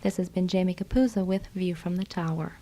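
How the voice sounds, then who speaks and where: A woman's voice: on the low side, fairly even intonation, a little fast. A woman hosting a radio magazine program, reading scripted announcements and introductions. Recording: slightly dull old reel-to-reel radio tape.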